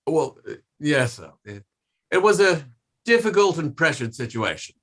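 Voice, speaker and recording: A man's voice: fairly high pitch, very animated, normal speed, a bit bright. A man playing a defensive coroner giving formal, hesitant evidence in a table read of a courtroom play.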